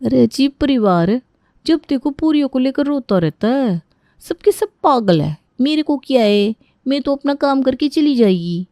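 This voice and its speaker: A woman's voice: high voice, animated, normal speed, warm tone, no echo, clean studio sound. A woman voicing a blunt, scornful housemaid in a Hindi cartoon story, grumbling impatiently in colloquial speech.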